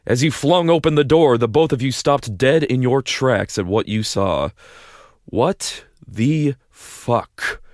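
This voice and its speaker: A man's voice: mid-range voice, slow pace, no room sound, clean studio sound, faint music behind. A man narrating a fan audio drama in the second person, casual and wry.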